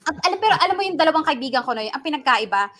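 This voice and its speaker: A Filipino woman co-hosting a casual advice talk, speaking in an expressive, conversational way and switching between two languages. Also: high-pitched, very fast, over a noisy crowd. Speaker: radio show co-host. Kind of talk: casual advice talk, giving commentary to listeners.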